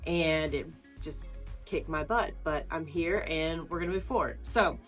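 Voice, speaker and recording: A woman's voice: low voice, measured pace, soft background music. Woman, 40s, slightly nasal, recovering from flu, earnest wellness coach, step-by-step explainer. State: getting over the flu. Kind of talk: instructional talk to camera.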